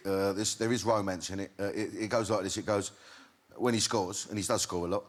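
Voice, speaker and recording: A man's voice: lowish voice, fairly even intonation, a little fast. An older man, a talk show guest who chats bluntly and jokingly and swears freely. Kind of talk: casual chat.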